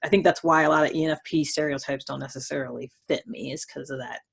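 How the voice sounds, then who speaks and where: A woman hosting a casual interview chat, talking in a thoughtful, halting way that tends to trail off. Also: low voice, fairly even intonation, creaky, slightly rough. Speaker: host.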